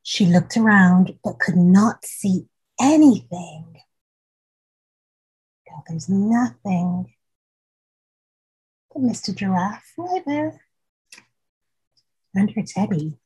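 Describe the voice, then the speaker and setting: A woman hosting a children's storytime, gently reading a picture book aloud and putting questions to young listeners. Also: mid-range voice, lively intonation, very slow, long pauses, husky, rough recording.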